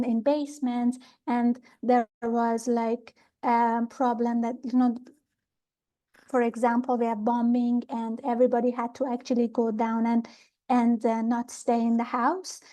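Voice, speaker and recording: A middle-aged woman from Iran calmly explaining pranic healing ideas in an interview, pausing often with fillers and restarts. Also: a little high. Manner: calm, thoughtful, with many fillers and restarts.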